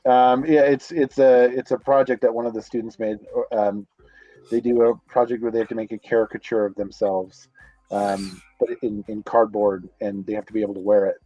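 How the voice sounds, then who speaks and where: Mellow-voiced man on a dull call line. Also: fairly low pitch, level tone, normal speed, dry recording. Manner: rambling, many restarts and fillers. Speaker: guest, comics creator. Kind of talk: casual chat. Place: office on a college campus.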